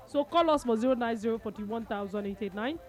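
Lively high-voiced Nigerian woman radio host. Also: fast talker, soft background music. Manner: teasing, laughing, mixes pidgin into English. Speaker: radio sports show host. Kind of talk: casual radio chat, reading listener texts.

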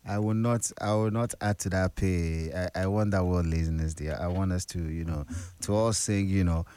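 A man's voice: low voice, normal speed, mellow, clean tone, no echo. Man hosting morning radio. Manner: chatty, peppers talk with "now" and "you know". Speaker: radio morning show host. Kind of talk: casual radio news chat.

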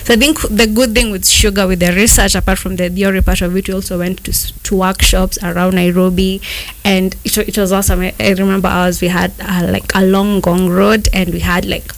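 A young filmmaker woman from Kenya talks through her work in a loose, rambling way, full of fillers and restarts. Her voice has a gravelly, creaky edge, pitched at an ordinary middle height. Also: little pitch movement, brisk, poor audio. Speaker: guest filmmaker. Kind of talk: casual interview answers.

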